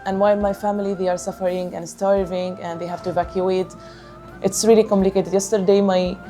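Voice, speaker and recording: Woman from Gaza, Palestinian Arabic accent, halting and earnest, mellow voice, faint music behind. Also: medium pitch, level tone. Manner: halting, earnest, many repeated words and restarts. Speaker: singer of a music band. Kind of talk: interview answers.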